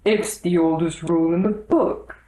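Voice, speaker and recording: A woman voicing a pompous, slow-witted old king in a storybook, in a grave and deliberate manner. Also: fairly low pitch, steady tone, warm tone, echoey room, lightly acted, poor audio.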